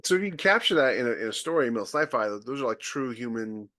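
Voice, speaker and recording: A man's voice: medium pitch, expressive, quick pace, sharp tone. Emphatic man on a chat podcast. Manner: emphatic, punchy storytelling. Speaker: podcast co-host. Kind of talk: casual chat.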